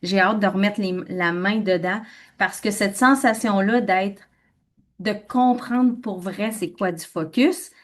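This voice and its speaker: A woman's voice: mid-range voice, unhurried. A middle-aged woman with a Québécois French accent, giving an emphatic personal testimony as a webinar speaker and repeating words for stress.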